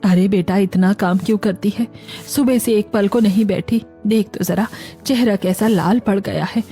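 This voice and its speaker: A woman puts on an elderly, loving mother-in-law for a cartoon family tale. She sounds soft-toned and tender, with a worried, fretful manner, and music plays behind her. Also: medium pitch, steady tone, moderate pace, natural voice. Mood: tender concern. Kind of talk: Hindi cartoon family story acting.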